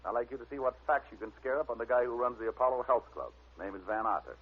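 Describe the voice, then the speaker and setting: A man's voice: mid-range voice, fast talker, slightly rough, lightly acted. A man acting a wisecracking, unflappable American private detective in 1940s radio style, with a wry, breezy delivery. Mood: sardonic. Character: wisecracking, unflappable male private detective who narrates his case. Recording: tinny vintage radio recording.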